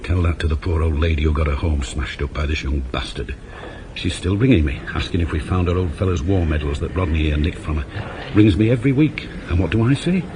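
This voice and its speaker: An actor playing a gruff, sardonic older police inspector near retirement, in a 1980s British radio drama. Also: male voice, a bass voice, a little fast. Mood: indignant, grumbling. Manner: sardonic, blunt, grumbling. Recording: noisy old radio broadcast tape.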